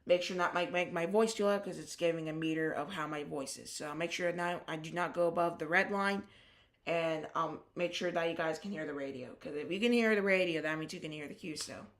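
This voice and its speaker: Radio hobby host, casual and halting, crisp bright tone, spells out call signs. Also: medium pitch. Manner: halting, restarts sentences, spells call sign phonetically. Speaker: ham radio operator and live-stream host. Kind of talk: casual live-stream chat with radio calls.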